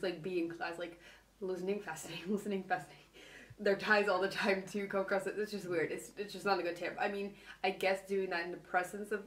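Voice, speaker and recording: Low-voiced woman in a distant echoey replay. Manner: hesitant, lots of fillers. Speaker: advice video host. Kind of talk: casual advice video. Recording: video replayed into a room mic, echoey and distant.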